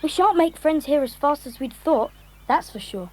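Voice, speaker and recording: A woman's voice: high-pitched, animated, normal speed, a little mellow, a little hiss or hum. A woman voicing a sensible, wry schoolboy in a children's audio drama. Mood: dry, puzzled.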